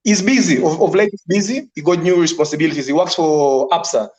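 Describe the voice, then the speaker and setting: A man on a committee call, talking in a relaxed way with many "you know" fillers. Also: a little high, a little fast. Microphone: online call. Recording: dull lo-fi call audio.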